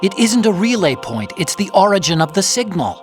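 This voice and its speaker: An actor playing a brainy, earnest tech expert in a video game, explaining things in a careful way. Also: male voice, sits high, sing-song, fairly quick. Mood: serious, explaining. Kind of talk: dramatic video game voice acting. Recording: music behind the voice.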